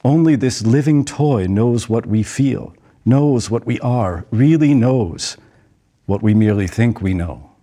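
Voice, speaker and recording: A man performing a poetic dramatic monologue as a stage actor, in a measured, pensive, theatrical way with deliberate pauses. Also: mid-range voice, warm tone.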